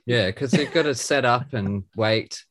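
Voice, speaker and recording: A man's voice: medium pitch, a little slow, fairly bright tone. A man co-hosting a podcast who chats casually and teases the other host. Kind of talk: casual interview chat.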